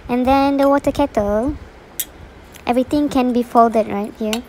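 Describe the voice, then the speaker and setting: A woman talks over a product unboxing in a relaxed, plain way, naming each item as she goes. Her voice sits a little high. There is a faint hiss of room noise behind her. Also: poor audio. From Malaysia. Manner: plain, unhurried, describing items one by one. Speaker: unboxing reviewer. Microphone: voice-over, speaker not seen.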